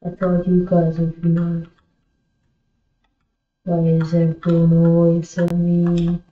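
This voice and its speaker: A woman's voice: on the low side, completely flat delivery, slow pace. A woman gamer chatting casually over in-game voice chat in a plaintive, pestering manner. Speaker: online game player. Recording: phone-like, echoey game voice chat.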